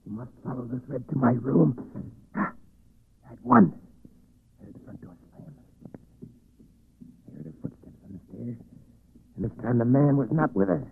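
An actor playing a vengeful, murderous weaver in a 1940s radio drama, intense and gloating. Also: male voice, mid-range voice, sing-song, normal speed, vocal fry, long pauses. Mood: obsessive, excited. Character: vengeful murderous weaver luring a woman, brooding and obsessive. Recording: noisy, muffled 1940s radio recording.

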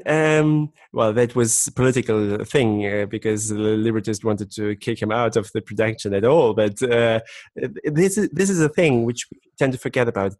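A man's voice: medium pitch, dry recording. Hesitant man explaining earnestly, dry sound. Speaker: guest harpist. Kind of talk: casual podcast conversation.

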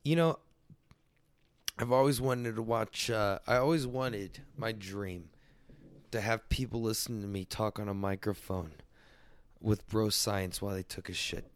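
A man's voice: fairly low pitch, a little slow, dry recording. A man hosting a crude solo comedy podcast, rambling loosely and swearing freely. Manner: profane, loose and rambling, mock-defensive. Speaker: podcast host.

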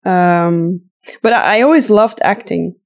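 A woman's voice: on the low side, measured pace. Woman with a Flemish accent. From Flanders, Belgium. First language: Dutch. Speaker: podcast host and audio drama voice actor. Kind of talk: casual chat, answering listener questions.

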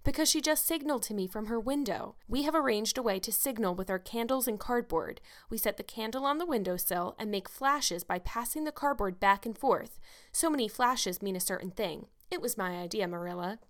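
A woman's voice: fairly high pitch, lively intonation, a little fast, natural voice. A woman playing a breathless, gushing young girl. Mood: pleading. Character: eager chattering young orphan girl.

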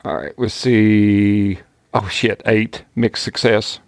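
A man's voice: on the low side, fairly even intonation, slow, slight background noise. A man playing a tabletop game, joking around in a loose way. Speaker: player.

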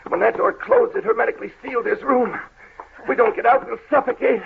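An actor playing a commanding, urgent master trapped in a haunted house, in melodramatic 1930s radio mystery style. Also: male voice, very high voice, quick pace, creaky, noisy background, full character voice. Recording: muffled, lo-fi 1930s radio recording.

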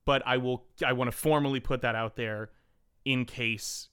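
Playful, clear-voiced man on a podcast. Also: medium pitch, steady tone, moderate pace, a bit bright. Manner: playful, giggly, jokey. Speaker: podcast co-host. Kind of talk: casual banter between co-hosts.

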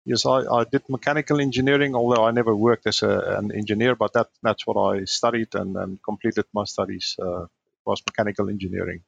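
A man with a southern African accent, speaking as a podcast guest in a measured, polite way with small hesitations. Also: fairly low pitch, fairly even intonation, gravelly, highs cut off, a little hiss or hum. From Namibia. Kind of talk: casual podcast interview.

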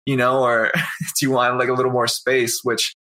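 Laid-back young singer. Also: male voice, medium pitch, little pitch movement, moderate pace, bright, forceful tone. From outside Boston, Massachusetts. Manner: laid-back, rambling, full of "you know" and "right?". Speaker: guest, singer. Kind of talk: casual interview chat.

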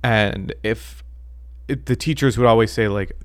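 A man co-hosting a podcast in casual chat, talking haltingly with many ums and restarts. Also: fairly low pitch, no room sound. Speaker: podcast co-host.

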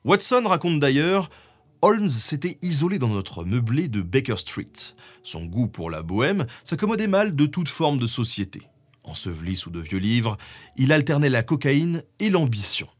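A man's voice: medium pitch, big pitch swings, moderate pace. A man with a French accent co-presenting history, explaining a script in a conversational way with frequent tag words. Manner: conversational, peppered with "hein" tags. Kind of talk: semi-scripted explanatory narration.

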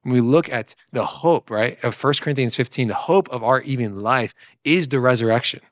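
A man's voice: mid-range voice, moderate pace, no room sound, clean studio sound. A man giving conversational Bible teaching, explaining step by step and often checking in with a "right?". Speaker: discussion co-presenter teaching theology.

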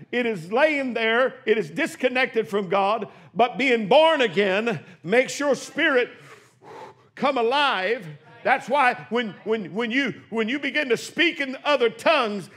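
Man, 60s, pastor, high-pitched, hushed asides and loud shouts, church. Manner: preaching with swings from hushed asides to shouted exclamations. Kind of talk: sermon. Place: church sanctuary.

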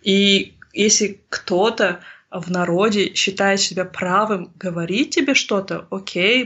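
A woman's voice: medium pitch, a bit bright, slightly rough. A woman in her thirties from Kazakhstan, speaking earnestly in a reflective interview and often searching for words. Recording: slightly dull lo-fi recording.

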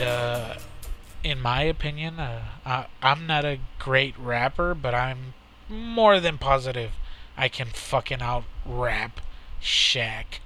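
A man's voice: mid-range voice, bright, forceful tone, slight background noise. A man in casual, profane podcast banter, joking and mocking. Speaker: podcast co-host. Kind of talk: casual chat.

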